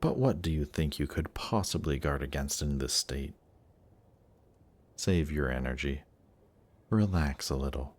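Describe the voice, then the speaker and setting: A man playing a suave demon prince in an intimate audio roleplay, speaking in a slow, seductive, gently coaxing way. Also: very deep voice. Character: suave demon prince of Hell, tempting and reassuring. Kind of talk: intimate audio roleplay, scripted monologue to listener.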